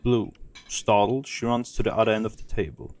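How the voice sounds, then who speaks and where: Low-voiced male audio drama narrator. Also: a little fast, darkish tone.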